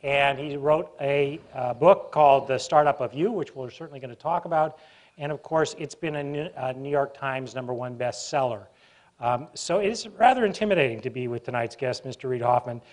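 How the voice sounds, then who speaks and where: A man's voice: mid-range voice, brisk. A middle-aged man moderating a stage conversation in a university auditorium, chatty and wry, often restarting his sentences, on a lapel mic. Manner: chatty, self-interrupting, wry. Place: university auditorium with live audience.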